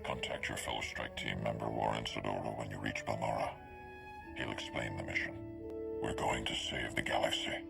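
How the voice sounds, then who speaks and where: An actor playing a solemn, resolute Jedi master in a video game, with a very deep gravelly voice and measured delivery. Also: male voice, level tone, music in the background, exaggerated voice. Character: grave, ancient-sounding male Jedi master rallying a secret mission. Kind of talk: video game cutscene acting.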